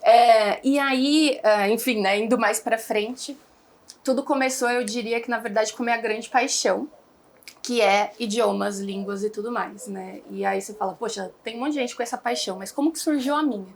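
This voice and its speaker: A woman's voice: fairly high pitch, normal speed, a little hiss or hum. A young Brazilian woman talking casually as an interview guest, chatty and rambling with many fillers. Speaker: guest, founder of an online English school.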